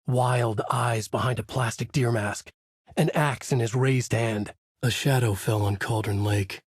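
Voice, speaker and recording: A brooding, haunted novelist telling a dark thriller in a video game. His voice sits fairly low and has a husky grain. He delivers the narration gravely and deliberately, with noir drama, in a very dry, close sound. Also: no room sound. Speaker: voice actor. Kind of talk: scripted noir horror narration.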